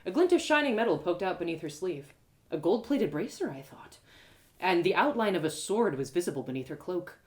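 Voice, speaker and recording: Woman doing lively fantasy storytime, very expressive, pitch swings all over, pauses for drama. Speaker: author reading own story aloud. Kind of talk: animated first-person fantasy storytelling, live reading.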